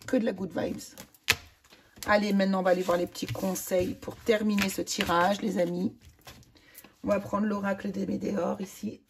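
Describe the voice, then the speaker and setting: A woman with a hoarse voice, chatting enthusiastically through a tarot card reading for viewers. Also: mid-range voice, flat delivery, moderate pace, slight background noise. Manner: chatty, enthusiastic, many fillers and exclamations. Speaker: tarot reader.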